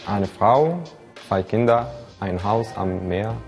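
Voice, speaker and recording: Egyptian guy around 20, deeper voice, telling about himself, music underneath. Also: slow pace, darkish tone. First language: Arabic. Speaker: student contestant. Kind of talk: casual self-introduction.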